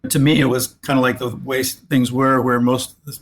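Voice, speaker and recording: A man's voice: medium pitch, flat delivery, fairly quick, a little hiss or hum. A man in his sixties, a spine surgeon raised in Alaska, talking through his past in a rambling way with many restarts. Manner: rambling with frequent restarts and repeated words. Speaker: guest, orthopedic spine surgeon. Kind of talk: reflective interview conversation.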